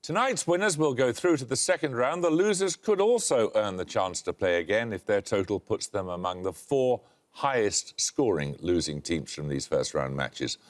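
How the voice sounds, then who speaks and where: Dry, animated male quiz host. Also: on the low side, moderate pace, hardly any pauses. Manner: dry, wry delivery with lively intonation. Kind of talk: scripted quiz presenting. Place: television studio.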